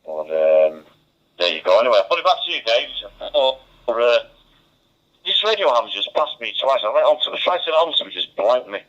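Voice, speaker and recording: A man's voice: medium pitch, very animated, a little fast, a bit bright. A middle-aged man, chatting casually and ramblingly as a radio ham over a two-way radio link. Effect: two-way radio transmission. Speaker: amateur radio operator. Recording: muffled digital two-way radio audio.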